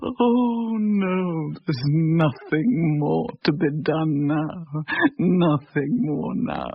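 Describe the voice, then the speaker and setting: An actress playing a despairing young widow in a radio drama, plaintive and halting. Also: female voice, low voice, creaky, husky, no room sound, full character voice. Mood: anguished despair. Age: young adult. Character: possessed woman voicing a starving, despairing young English widow. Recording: dull, lo-fi old radio recording.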